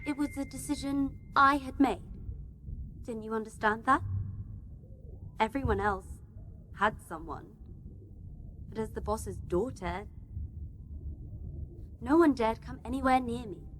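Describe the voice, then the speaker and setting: An actress playing a lonely, candid boss's daughter with a wounded manner in a radio play. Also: female voice, a little high, a little slow, pauses often, a bit bright, slight background noise. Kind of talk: radio play monologue acting.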